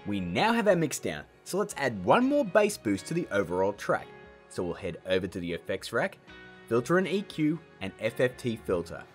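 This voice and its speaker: A man hosting a tutorial with scripted narration and chatty, informal asides. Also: medium pitch. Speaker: tutorial host. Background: background music.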